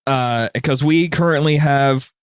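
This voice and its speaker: A man talks casually as a guest on a podcast, spinning out stories with animated emphasis and frequent detours. His voice sits at a middle pitch, and the sound is very dry. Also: a little slow, clean tone, no echo. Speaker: podcast guest, co-host of a gaming media group. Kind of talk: casual chat, storytelling.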